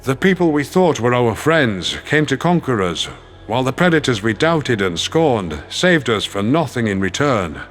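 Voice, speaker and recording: A man's voice: mid-range voice, very animated, normal speed, a bit bright, soft background music, pitched below the performer's own voice. A man voicing a resolute, plainspoken alien president giving an emphatic formal speech in a sci-fi audio story.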